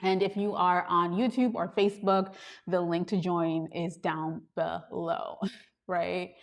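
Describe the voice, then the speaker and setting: A woman's voice: fairly low pitch, level tone, takes it slowly. A woman with a Trinidadian accent chatting live with viewers as a YouTube coach, bubbly and laughing often, recorded on a small handheld camera. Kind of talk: casual livestream chat with viewers. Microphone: handheld gimbal camera.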